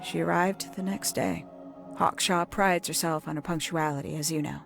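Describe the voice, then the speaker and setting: A woman voicing a composed, calculating young woman in a video game, speaking in a measured, formal, theatrical way as she confesses. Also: on the low side, fairly even intonation, gravelly, fairly bright tone, faint music behind. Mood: cool, calculating. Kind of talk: dramatic scripted confession monologue.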